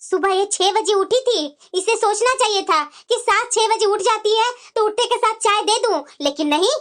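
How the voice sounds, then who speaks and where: A woman's voice: very high-pitched, animated, moderate pace, a bit bright, faint music behind. A woman voicing a bitter, domineering middle-aged mother-in-law who grumbles and scolds dramatically in a family cartoon. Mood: grumbling, aggrieved. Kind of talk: Hindi family cartoon dialogue.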